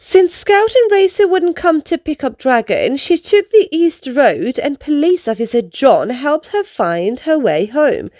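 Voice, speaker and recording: Female storybook reader, high voice, very lively intonation, expressive teaching delivery for children, dry close sound. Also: unhurried, few pauses, dry recording. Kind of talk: read-aloud storybook narration.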